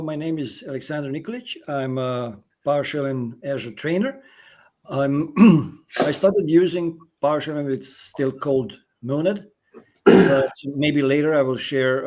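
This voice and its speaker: A man, a trainer, introducing himself on a video call in a relaxed, slightly hesitant way. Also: medium pitch, normal speed. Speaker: trainer, panel guest.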